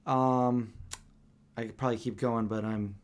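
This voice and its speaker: A man's voice: mid-range voice, fairly even intonation, unhurried, highs cut off. Easygoing man hosting a live stream. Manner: reads viewer questions, easygoing. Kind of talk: casual live-stream chat.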